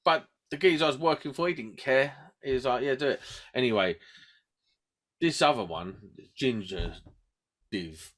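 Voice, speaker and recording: A man's voice: medium pitch, normal speed, fairly bright tone. A man hosting a podcast, bantering and telling anecdotes in slangy, sweary, repetitive phrases. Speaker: podcast host.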